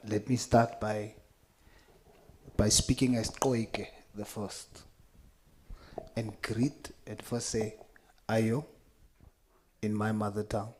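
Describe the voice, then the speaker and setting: A man's voice: fairly low pitch, a little mellow, a little hiss or hum. A South African man whose first language is Afrikaans, giving an earnest, impassioned formal address at a committee hearing, repeating key points for emphasis. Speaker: member speaking in a committee hearing.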